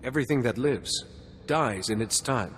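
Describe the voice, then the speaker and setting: Man acting a calm, formal warrior monk. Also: mid-range voice, in a large hall, slight background noise, close to an everyday voice. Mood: serene. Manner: measured, formal. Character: calm, principled male warrior monk, earnest and polite. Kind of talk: video game cutscene acting.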